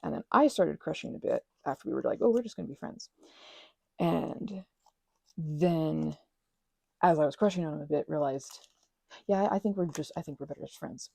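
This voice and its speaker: A woman in her thirties chatting in a soft, rambling way, full of fillers and self-corrections, as an ASMR host. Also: low voice, animated, moderate pace, creaky, long pauses, breathy edge, no room sound. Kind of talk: rambling personal chat. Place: quiet room at a craft table.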